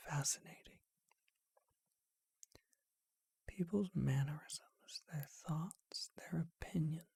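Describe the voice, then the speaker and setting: A performer voicing a charming, courteous, bookish vampire shopkeeper in a breathy, husky whisper, with genteel and hesitant phrasing, for an ASMR roleplay. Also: medium pitch, a little mellow, no room sound, studio quality, heavily acted. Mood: musing, intimate. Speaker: bookshop owner.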